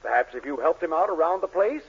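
A man's voice: a little high, lively intonation, fast talker, gravelly, breathy edge, heavily acted. A man playing a pompous, tight-fisted drugstore owner in a 1940s radio comedy, avuncular and exclamatory. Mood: smooth, persuasive. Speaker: sitcom actor. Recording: muffled, hissy 1940s radio recording.

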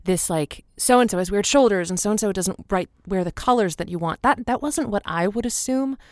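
In a dry studio sound, an actress plays a woman hosting a radio advice line, with a mid-pitched voice. She is sympathetic but probing, coaxing in halting, folksy phrases in a semi-improvised drama. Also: darkish tone, no echo, natural voice. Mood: sympathetic, advising. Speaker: advice show host.

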